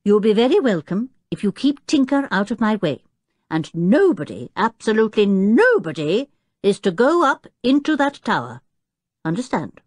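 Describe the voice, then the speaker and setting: Storybook role: absent-minded, irascible middle-aged male professor played by a woman, blustering and emphatic, very animated pitch. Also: moderate pace, dry recording, clean studio sound. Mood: stern, fierce.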